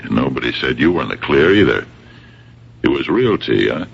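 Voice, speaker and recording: An actor playing a gruff, skeptical homicide captain in a radio drama, with a gravelly voice and a dry, halting, probing manner. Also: male voice, very deep voice, sing-song, full character voice. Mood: wry suspicion. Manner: halting, wry interrogator. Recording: dull old radio broadcast.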